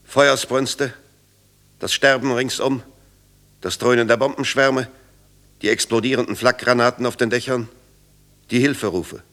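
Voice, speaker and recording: An actor in a radio drama playing an anguished witness of wartime air raids in an intense monologue. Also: male voice, medium pitch, steady tone, measured pace, pauses often, fairly bright tone. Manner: intense, enumerating in fragments.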